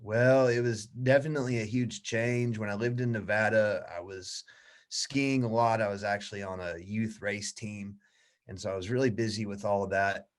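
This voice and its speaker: A man around forty, a guest in a casual interview, telling stories in a relaxed, easygoing way. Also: lowish voice, moderate pace. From United States.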